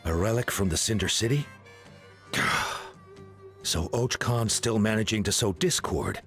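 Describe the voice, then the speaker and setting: A man voicing a thoughtful, earnest veteran flyer of a tribe in a video game, measured and expressive. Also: fairly low pitch, soft background music, lightly acted. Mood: concerned.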